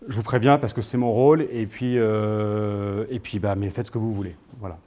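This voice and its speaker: A man's voice: on the low side, fairly even intonation, moderate pace, warm tone. A man of thirty-nine, giving an informal personal talk to an audience in a hesitant storytelling manner. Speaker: guest speaker.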